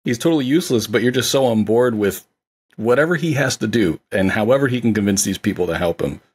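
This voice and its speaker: A man's voice: mid-range voice, expressive, fast, breathy edge. A man co-hosting a podcast, chatting casually about films in an enthusiastic, agreeable way. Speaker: podcast co-host, film director. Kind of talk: casual film review chat.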